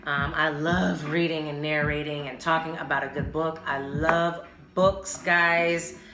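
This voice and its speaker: Candid, slangy low-voiced woman podcaster in roomy echo. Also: steady tone, a little slow. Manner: candid, slangy, emphatic, swears freely. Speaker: podcast host. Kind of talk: casual solo podcast talk. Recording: roomy echo, music behind the voice. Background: music.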